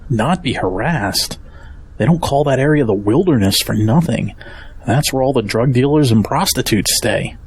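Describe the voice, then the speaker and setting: A man's voice: medium pitch, lively intonation, moderate pace, slightly rough. An actor playing a weary, practical family man with a wary, down-to-earth manner in an audio drama. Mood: worried.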